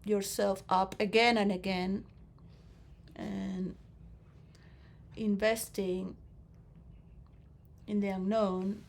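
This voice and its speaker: A woman giving a rambling philosophical monologue to role players, shifting between soft musing and loud emotional outbursts. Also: mid-range voice, little pitch movement, drawn-out words, gravelly, pauses often. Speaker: speaker addressing role players.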